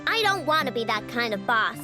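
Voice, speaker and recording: A woman's voice: fairly high pitch, big pitch swings, a little fast, music in the background. A woman voicing a headstrong young girl inventor with a childlike voice and bossy, emphatic manner in an anime game. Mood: upset. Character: headstrong young girl inventor bossing her robot fleet.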